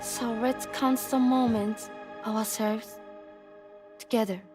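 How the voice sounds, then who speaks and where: An actress playing a tender, teasing young woman who speaks softly and slowly in a video game cutscene. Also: a little high, fairly even intonation, music in the background. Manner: soft, slow and affectionate.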